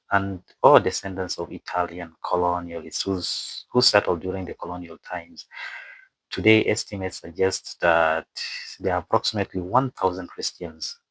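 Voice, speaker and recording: A man who is not a native English speaker narrates an explainer from a script with asides, haltingly, stumbling over words and repeating phrases. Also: low voice, fairly bright tone, no echo, rough recording. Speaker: video presenter and narrator.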